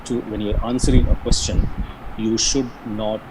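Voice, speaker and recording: Low-voiced man with a Bihari accent. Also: flat delivery, normal speed, noisy background. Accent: Bihari-Jharkhand Indian English. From Jharkhand, India. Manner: earnest, explanatory, frequent restarts and repetitions. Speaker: career guidance speaker. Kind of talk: online lecture on interview skills.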